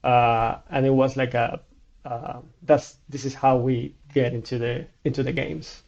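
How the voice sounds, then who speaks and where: A man in his thirties from Cuba with a Cuban Spanish accent, a native Spanish speaker talking haltingly as a guest in a casual interview, with many restarts. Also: medium pitch, steady tone, gravelly, a little mellow, muffled recording.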